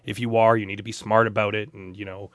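A man in a casual podcast conversation, telling personal anecdotes in a measured, thoughtful, hedging way. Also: fairly low pitch, level tone, no echo.

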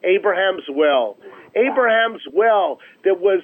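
A man speaking as an interview guest over a phone line, pitching his organization emphatically and repeating phrases for effect. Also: animated, slow pace. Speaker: charity chief executive, interview guest. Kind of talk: promotional radio interview talk. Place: remote call into a radio show. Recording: narrow, tinny phone line.

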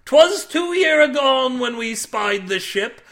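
A man's voice: extremely high pitch, lively intonation, slow pace, fairly bright tone, pitched above the performer's own voice, full character voice. A man voicing a superstitious old sailor who tells tales fervently in archaic salty seafaring speech, in an audiobook story. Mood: ominous warning. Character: wild-eyed old male sailor, superstitious and dramatic.

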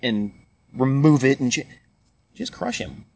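A man's voice: medium pitch, sing-song, unhurried, creaky. A man chatting casually about films, rambling with many restarts and fillers. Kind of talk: casual movie chat. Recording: muffled lo-fi recording with faint hiss.

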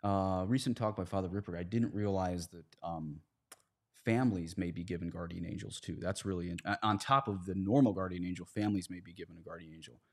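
A man's voice: deep voice, fast talker. A man, a priest, speaking as a podcast guest, earnestly explaining religious ideas in conversational, plain analogies.

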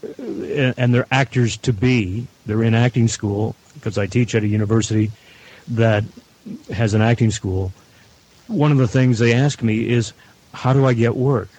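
A middle-aged man giving measured advice as a guest at a roundtable. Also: medium pitch, steady tone, a little mellow, slightly rough, low-quality recording. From Canada. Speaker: audio producer, guest panelist.

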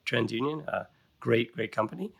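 A man's voice: mid-range voice, animated, moderate pace, vocal fry, fairly bright tone, breathy edge. A man hosting a podcast interview, upbeat and welcoming toward his guest. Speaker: podcast host.

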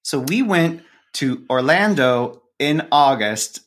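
A man's voice: a little high, expressive, slow pace, fairly bright tone. A man speaking casually as an interviewed podcast co-host, with a wry, dryly humorous way of talking.

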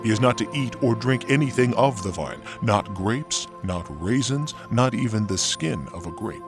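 An actor playing a solemn male angel in a Bible audio drama, speaking with measured authority. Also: medium pitch, expressive, music in the background, natural voice. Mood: solemn, proclaiming.

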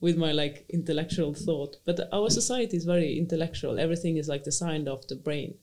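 A woman's voice: low-pitched, a little fast, mellow. A young woman hosting a casual conversation in English, talking in a chatty, reflective way with lots of fillers. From Sweden. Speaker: interviewer.

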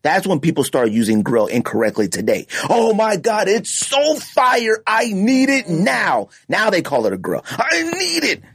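Animated man debating on a podcast. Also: sits high, a little slow, few pauses, breathy edge, dry recording. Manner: emphatic, repeats phrases for effect. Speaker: podcast host.

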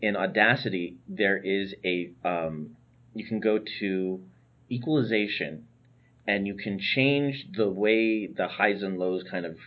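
Husky man on a lo-fi podcast. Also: low-pitched, measured pace. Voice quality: nasal. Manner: laughs easily, animated when amused. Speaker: podcast host. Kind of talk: casual chat.

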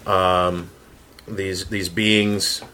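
A man chatting casually in a group, talking as a writer in a halting way, searching for words. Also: low voice, little pitch movement, pushed and bright. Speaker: writer and voice actor on a round-table.

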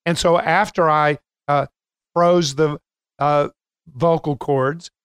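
An older man hosting a coaching podcast, speaking casually with frequent filler pauses. His voice is smooth and clear, set a bit higher than most. The studio sound is dry and close. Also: steady tone, fairly bright tone, dry recording. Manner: chatty, many filler pauses. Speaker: host and coach.

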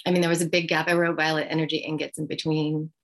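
Middle-aged woman author, reflective interview, deep fry in the voice, hesitant. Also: little pitch movement. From United States. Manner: thoughtful, halting, many ums. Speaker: author guest.

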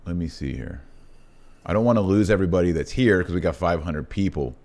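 A man's voice: low-pitched, brisk, a little mellow. A man hosting a religious podcast, talking in an informal, conversational way. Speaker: podcast host. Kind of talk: casual religious talk and prayer.

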